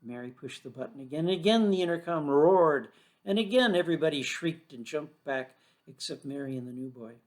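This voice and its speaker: A man narrates a children's story from a script. His voice sits a little higher than most men's, and he reads as a storyteller. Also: animated, a little fast, a little mellow.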